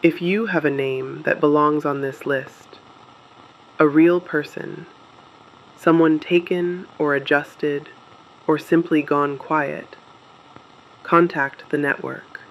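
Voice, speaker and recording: A performer playing a grave, steadfast resistance radio broadcaster, speaking solemnly in deliberate short phrases as scripted dystopian narration. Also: medium pitch, steady tone, low-quality recording. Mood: grave, steady.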